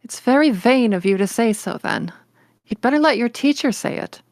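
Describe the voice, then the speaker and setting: A woman's voice: fairly high pitch, expressive, moderate pace, no room sound, studio quality. A woman voicing a stern, disapproving middle-aged spinster in a storybook, tart and no-nonsense.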